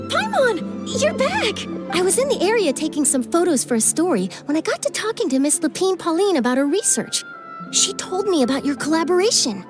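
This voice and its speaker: An actress playing an eloquent, reassuring young woman reporter in a video game, speaking in an animated, articulate way. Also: extremely high pitch. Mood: apologetic. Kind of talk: scripted video game voice acting. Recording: game music playing behind the voice.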